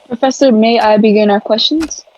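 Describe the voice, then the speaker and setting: An actress playing a composed female radio commentator in a 1930s-style drama, formal, measured and descriptive. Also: a little high, a little mellow, dull audio, slight background noise, close to an everyday voice.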